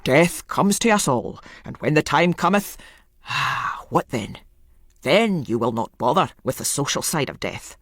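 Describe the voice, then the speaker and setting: A woman voicing a pompous male lay preacher in a story, rasping out drawn-out biblical oratory. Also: low voice, expressive, dry recording, clean studio sound, full character voice. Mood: solemn, ominous.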